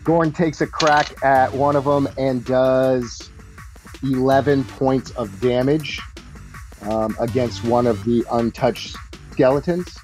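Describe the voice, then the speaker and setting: A man's voice: medium pitch, fairly even intonation, slow pace, a little mellow. Man running a tabletop game, music behind. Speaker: game master. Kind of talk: narrating tabletop game combat.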